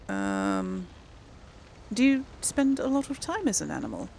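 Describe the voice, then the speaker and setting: Lively, creaky-voiced woman gamer. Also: fairly high pitch, a little hiss or hum. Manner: hesitant, musing aloud, informal. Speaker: gamer, let's play host. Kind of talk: casual gameplay commentary.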